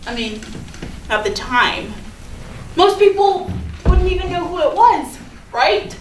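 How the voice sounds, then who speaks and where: Popular high school girl, played by a woman, breezy and self-excusing, high swooping voice, stage reading in an echoey room. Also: very animated, slow pace, heavily acted. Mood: defensive, flustered. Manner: chatty, hedging, casual. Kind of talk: staged reading of a play, naturalistic dialogue. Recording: echoey room from far off, noisy.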